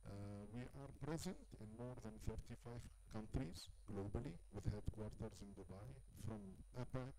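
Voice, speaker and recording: A man's voice: fairly low pitch, moderate pace, hardly any pauses. A man from Tunisia giving a conference talk, hesitant and full of fillers. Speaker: conference speaker. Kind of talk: halting presentation. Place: large exhibition hall. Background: clear background noise.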